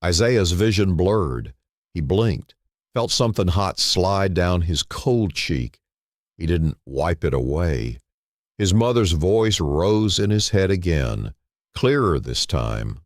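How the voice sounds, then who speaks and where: A man narrating a dramatic story in a solemn, deliberate way, in short phrases with dramatic pauses. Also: sits low, sing-song, no echo, studio quality.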